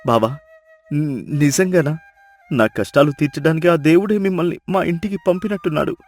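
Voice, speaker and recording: A man's voice: on the high side, moderate pace. A man playing a humble, poor village husband in a dubbed moral-story cartoon, speaking meekly and deferentially. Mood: humble, thankful. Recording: music behind the voice.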